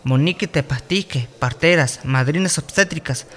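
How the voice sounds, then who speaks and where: An actor voicing a patient, instructive village doctor in a radio play. His voice sits a little high for a man and stays steady and reassuring as he explains. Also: moderate pace, lightly acted. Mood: calm. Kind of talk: radio drama acting.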